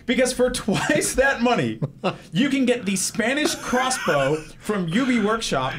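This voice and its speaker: A man's voice: extremely high pitch, sing-song, a little slow, background noise. A man hosting a casual comedic panel chat in a sardonic, wry manner. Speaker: podcast host.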